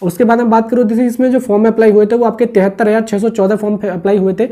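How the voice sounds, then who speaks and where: A man talks quickly in a soft, mellow tone. He explains things informally like an online coaching host, circling back over each point and checking that listeners follow. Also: high-pitched, little pitch movement. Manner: repetitive, with frequent check-in tags. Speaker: exam-update YouTube host. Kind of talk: informal explanatory exam briefing.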